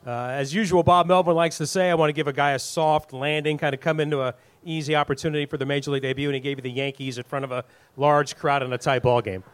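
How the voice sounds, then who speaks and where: Male panel host, slightly higher voice, chatty and jokey. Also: steady tone, fast, clean tone. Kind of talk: hosting a fan panel Q&A.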